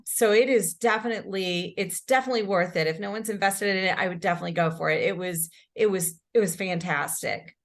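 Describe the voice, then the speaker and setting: A woman's voice: medium pitch, a little fast, hardly any pauses. A woman from Houston speaking as an interview guest, upbeat and conversational, often repeating her phrases. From Houston, Texas. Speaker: guest, company director.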